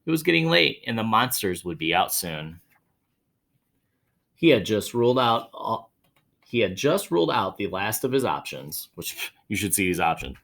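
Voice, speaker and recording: Man reading aloud, wildly expressive pitch. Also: normal speed, creaky. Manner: expressive, dramatic storytelling, addressing children directly. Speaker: children's read-aloud host, teacher or school staff. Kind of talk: animated picture-book read-aloud with chatty asides.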